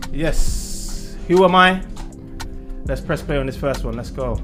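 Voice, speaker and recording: A man's voice: a little high, unhurried, bright, forceful tone, soft background music. A man with a London accent hosting a casual radio interview in informal, slangy speech. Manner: informal, slangy, calls guest bro.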